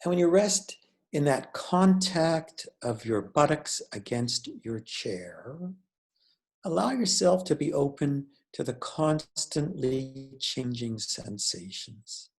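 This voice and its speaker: Slow-paced man guiding a meditation. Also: fairly high pitch, big pitch swings, vocal fry. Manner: measured, deliberate, with pauses between phrases. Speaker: meditation teacher. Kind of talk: guided meditation instruction.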